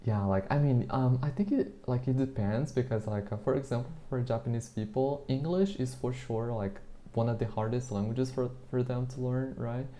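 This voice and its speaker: Portuguese-native guy, soft mellow voice, keeps saying like, hesitant but chatty. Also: medium pitch, moderate pace, few pauses. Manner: chatty, hesitant, leans heavily on the filler "like". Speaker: interviewer practicing English. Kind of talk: casual street conversation.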